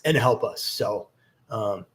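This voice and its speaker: A man's voice: on the low side, lively intonation, slow pace, fairly bright tone. A man casually hosting a live investing chat, rambling and hesitant, with an upbeat tone. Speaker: stock investing livestream host.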